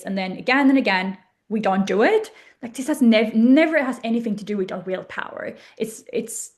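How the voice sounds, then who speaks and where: A woman coach leads a live workshop over a video call. She talks in bright, upbeat bursts of encouragement and pitches her program eagerly. Her voice sits somewhat high.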